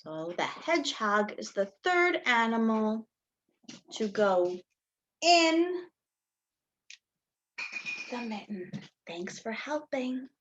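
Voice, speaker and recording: Lady teacher doing story time for little kids, real slow and sing-song, kinda dull lo-fi audio. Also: a little high, very animated, fairly bright tone, a little hiss or hum. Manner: sing-song, asks children questions with pauses for answers; simple, deliberate phrasing for small children. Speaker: teacher reading a picture book to young children. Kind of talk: children's story time read-aloud.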